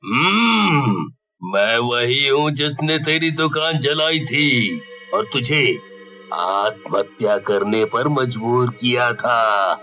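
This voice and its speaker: A man's voice: medium pitch, lively intonation, slow pace, pushed and bright, faint music behind. A man voicing a malicious, greedy devil in a cartoon story, taunting and menacing in manner. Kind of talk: Hindi cartoon story dialogue.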